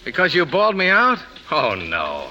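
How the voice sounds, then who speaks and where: A sardonic young man in an old radio play, with a forceful, very bright voice that swoops in a lively way. He is flippant, ambitious and needling. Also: a little high, normal speed, muffled recording, full character voice. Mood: mocking. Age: young adult. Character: cocky, wicked-tongued, ambitious young male magazine employee. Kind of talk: 1950s radio drama acting.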